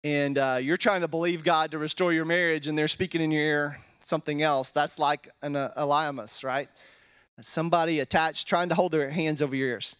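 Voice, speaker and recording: A Texan pastor in his forties talks through scripture like a chat with friends, tossing in "right?" and little fillers as he thinks. His voice sits a bit high for a man. He speaks into a handheld mic in a wooden church hall. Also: fairly even intonation, brisk, fairly bright tone, clear, resonant tone. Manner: casual, tags statements with "right?", thinks aloud with fillers. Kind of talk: conversational Bible teaching. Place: wood-paneled church hall, indoors. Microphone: handheld microphone.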